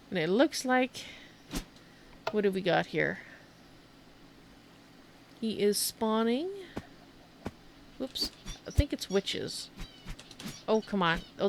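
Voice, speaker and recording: Creaky-voiced woman giving casual game commentary. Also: fairly low pitch, background noise. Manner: rambling, thinking aloud. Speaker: streamer hosting a video game tour.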